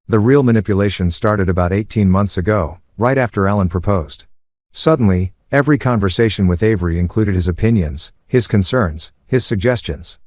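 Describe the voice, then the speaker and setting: A man narrating a first-person story in a steady, measured way. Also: lowish voice, no room sound, clean studio sound.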